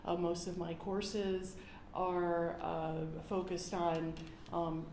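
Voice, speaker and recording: A woman's voice: on the low side, little pitch movement, takes it slowly, a little mellow. A woman in her forties with an American accent, speaking conversationally as an academic guest in an interview. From United States. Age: 40s. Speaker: podcast guest, university professor. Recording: roomy echo, faint background noise.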